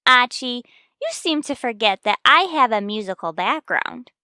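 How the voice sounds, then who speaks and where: A woman playing a brash, sassy tavern owner's daughter in an old-time radio comedy, firing back quick comebacks. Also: fairly high pitch, expressive, sharp tone, smooth, dry recording, clean studio sound.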